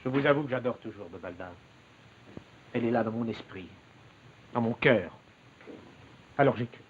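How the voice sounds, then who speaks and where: Casting: man playing earnest, lovesick youth, mid-pitched, formal literary diction, old TV melodrama, dull sound. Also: normal speed, close to an everyday voice. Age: young adult. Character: Jérôme, serious, lovesick, stubborn young man. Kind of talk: 1950s television melodrama acting. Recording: dull, faintly noisy 1950s television soundtrack.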